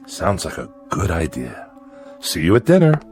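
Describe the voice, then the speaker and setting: An actor playing a nervous farmer father in a radio drama, folksy and halting, placating with false joviality. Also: sits low, wildly swooping pitch, a little mellow, soft background music. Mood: weary, evasive.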